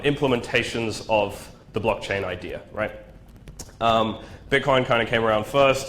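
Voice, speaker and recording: A man's voice: fairly low pitch, a bit bright, slight background noise. A man with a nasal, flu-stuffed voice giving a casual tech talk at a meetup, with lots of ums and "right?". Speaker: presenter. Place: meetup venue.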